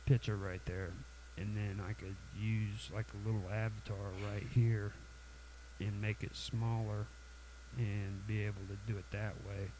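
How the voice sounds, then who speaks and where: A man with a cold hosting a casual software tutorial, halting and full of fillers and restarts. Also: fairly low pitch, flat delivery, background noise. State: has a cold, sniffly. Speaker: tutorial channel host.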